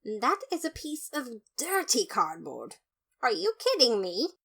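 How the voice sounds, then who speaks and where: A woman's voice: sits high, wildly swooping pitch, a little slow, vocal fry, a bit bright, pitched above the performer's own voice, full character voice. An upright skeleton brother acted by a woman speaks in an audio story. He is pompous and formal, stressing his words with exasperated indignation.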